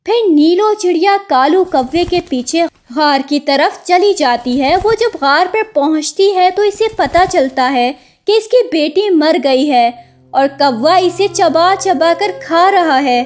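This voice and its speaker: Slow, high-pitched woman storyteller. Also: expressive. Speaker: story narrator. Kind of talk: scripted children's story narration.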